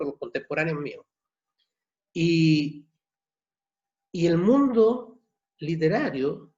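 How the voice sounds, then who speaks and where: A man in his sixties from Chile with a Chilean accent, a novelist answering questions at an online book launch, halting and repeating words. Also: on the high side. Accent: Chilean Spanish. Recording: dull muffled video call audio.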